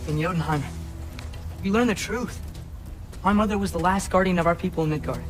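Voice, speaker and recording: A young man as an earnest, higher-voiced teenage hero. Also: fairly quick, lightly acted. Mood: earnest, pleading. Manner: earnest, youthful, hesitant. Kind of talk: naturalistic video game acting. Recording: music under the voice.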